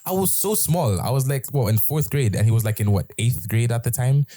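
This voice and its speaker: A man's voice: mid-range voice, animated, brisk, dark tone, no echo, clean studio sound. A young British man with a British accent telling a personal story in casual chat, rambling and restarting his sentences. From Britain. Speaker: host.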